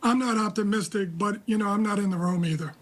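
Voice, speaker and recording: A man with an American accent giving live political analysis in a measured, deliberate, critical way. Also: sits high. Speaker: political analyst, interview guest. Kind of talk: live news commentary.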